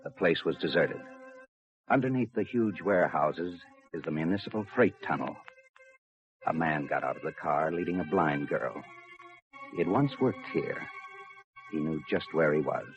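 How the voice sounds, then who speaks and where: A hard-boiled detective in an old radio play. His voice sits very low, world-weary yet firm as he handles his case. The recording is dull vintage radio with music behind it. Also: lively intonation, moderate pace, pauses often. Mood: terse, suspenseful. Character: world-weary, tough but reassuring railroad police detective, narrating his case. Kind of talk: 1950s radio drama acting, hard-boiled narration.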